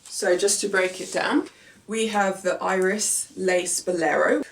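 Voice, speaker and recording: A woman's voice: fairly low pitch, unhurried, vocal fry, poor audio. A young woman with a nasal voice chatting casually through an unboxing and try-on video, enthusiastic and narrating what she handles, recorded in a small room. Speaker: fashion vlogger.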